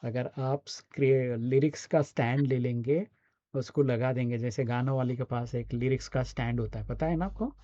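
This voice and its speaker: Male Indian coach, mid-pitched and soft-toned, explanatory online teaching with frequent check-ins, dull recording. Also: moderate pace, no echo. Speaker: voice and radio scriptwriting coach.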